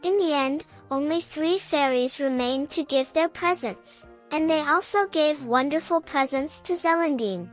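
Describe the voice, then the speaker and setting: High-voiced woman reading a bedtime story. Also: measured pace, hardly any pauses. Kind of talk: children's story read aloud. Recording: with faint background music.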